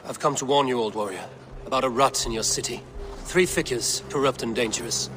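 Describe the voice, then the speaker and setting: An actor playing a grave, steady Viking warrior on the hunt for a secret order in a video game cutscene. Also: male voice, medium pitch, fairly quick, a little hiss or hum, lightly acted. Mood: grave, determined.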